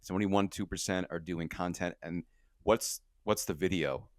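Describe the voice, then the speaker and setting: A man talking as a guest on an interview podcast, energetic and casual, often repeating words as he starts a thought. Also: deep voice, moderate pace, no room sound, clean studio sound. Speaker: podcast guest, company co-founder.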